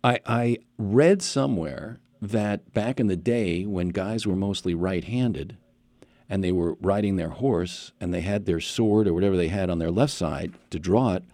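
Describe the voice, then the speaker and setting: A man's voice: sits low, unhurried, dark tone, no room sound. A man hosting an interview podcast on a headset mic, chatty and rambling with many follow-up questions. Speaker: podcast host. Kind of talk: casual interview chat.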